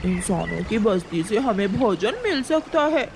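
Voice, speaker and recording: A man voicing an earnest, emphatic male crow in a children's cartoon story, with a husky voice. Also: high-pitched, big pitch swings, unhurried, background noise, exaggerated voice. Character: Kalu, pleading loyal male crow, a cartoon bird.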